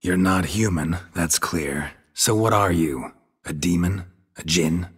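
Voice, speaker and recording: Gruff game hero: low, raspy, deadpan, terse, wary. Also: male voice, slow pace, heavily acted. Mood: blunt, suspicious. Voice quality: gravelly, husky. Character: gruff, dry, guarded monster hunter, adult man. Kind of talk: video game voice acting.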